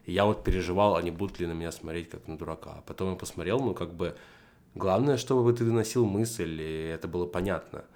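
A man's voice: sits low, fast, a little mellow. A young man in a casual conversation, explaining his points in a structured way with frequent fillers. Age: young adult. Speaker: podcast guest.

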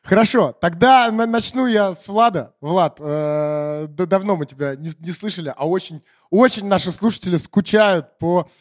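A man hosting a podcast in casual, chatty style, with somewhat halting phrasing. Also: sits high. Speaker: podcast host.

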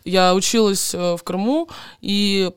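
A woman from Ukraine chatting as a podcast guest, her voice smooth and clear. She talks openly and keeps correcting herself mid-sentence. It is a close, dry recording with no room echo. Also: medium pitch, fairly even intonation, measured pace. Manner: open, chatty, full of fillers and self-corrections. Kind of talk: casual chat.

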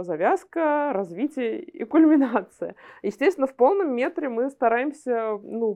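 A woman's voice: on the high side, darkish tone. A woman explaining her work informally as an interview guest, wordy and often rephrasing as she goes. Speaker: animation screenwriter and script editor, interview guest.

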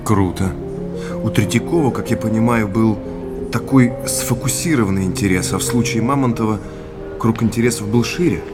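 An actor as an earnest, low-voiced man in audio drama. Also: normal speed, loud music behind the voice, lightly acted. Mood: curious. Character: earnest, inquisitive businessman tracing his family's past. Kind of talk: naturalistic audio drama acting.

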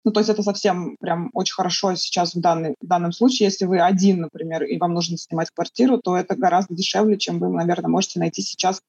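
A woman from Russia answering interview questions in a thoughtful, halting way, with many fillers and restarts. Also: fairly low pitch, fairly even intonation, a little mellow, husky, dry recording, dull audio.

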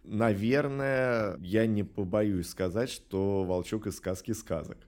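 A middle-aged man giving thoughtful interview answers in a reflective, measured way with hesitant pauses. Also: on the low side, steady tone, darkish tone. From Russia. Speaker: animation studio director, interview guest.

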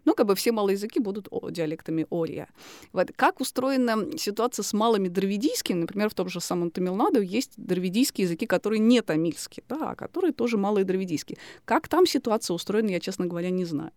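Woman explaining her research in an interview, talks fast, gravelly fry, super dry close sound. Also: medium pitch, lively intonation, darkish tone, no echo. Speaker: guest linguist describing her field research. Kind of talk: conversational interview storytelling.

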